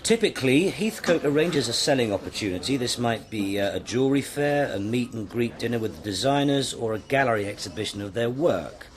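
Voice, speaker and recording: An actor playing a stern British senior detective in a radio drama, with a clipped, commanding delivery. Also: male voice, medium pitch, animated, brisk, hardly any pauses, lightly acted. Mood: businesslike. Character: stern, authoritative male senior police detective briefing his team. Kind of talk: BBC radio drama acting.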